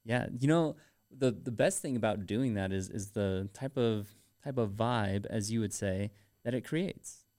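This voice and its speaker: A man co-hosting a podcast in casual banter, with a teasing, informal manner and halting phrasing. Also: on the low side, warm tone. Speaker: podcast co-host.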